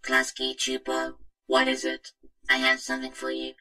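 A synthetic vocoder voice playing an animated talking logo creature in a flat, buzzy, robotic monotone. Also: normal speed. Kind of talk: scripted cartoon dialogue through a vocoder.

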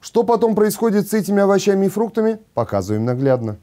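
Male news anchor, higher-pitched voice, lively intonation, sardonic, scripted delivery. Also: fast talker, very noisy. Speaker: news presenter. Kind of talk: scripted news narration with sarcastic commentary. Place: TV studio.

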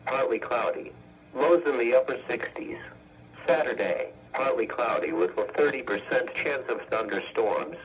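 A synthetic male text-to-speech voice reading an automated weather bulletin as a radio announcer, in measured, formulaic segments. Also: medium pitch. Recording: muffled weather radio broadcast.

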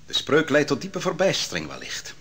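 An actor playing a grave, declamatory prophet pronouncing doom in a Dutch radio drama. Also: male voice, on the high side, huge pitch swings, moderate pace, fairly bright tone, slightly rough, heavily acted. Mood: ominous. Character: solemn male prophet pronouncing doom on a king.

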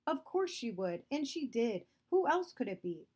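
A woman reading aloud, voicing a stern, domineering father who accuses a girl of theft, louder and higher than her narration. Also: sing-song, normal speed, husky. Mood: accusing.

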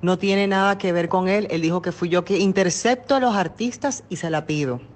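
A woman's voice: lowish voice, brisk, a little mellow. A Dominican woman in her thirties talking casually on a phone call, insistent and aggrieved as she complains. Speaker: talk show host. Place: on a talk show set with armchairs.

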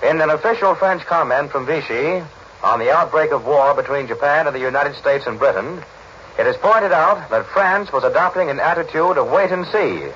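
A man reading news bulletins as a formal, deliberate 1940s radio announcer, on an old broadcast recording. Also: fairly high pitch, animated, fairly bright tone, dull audio, a little hiss or hum.

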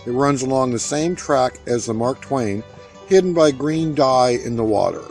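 A man reading a scripted documentary narration in a steady, factual way. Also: medium pitch, moderate pace, soft background music. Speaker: narrator of a park history video.

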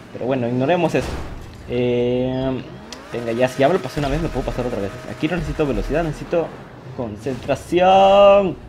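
A man giving lively commentary over his own online game, laughing often and mocking himself when he fails. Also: medium pitch. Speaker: gaming youtuber. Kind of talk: live gameplay commentary. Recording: game audio noise behind the voice.

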